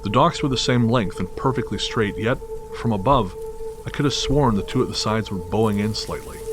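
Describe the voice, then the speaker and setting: A man narrating a scripted first-person horror story in a measured, literary storytelling manner. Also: fairly low pitch, music in the background.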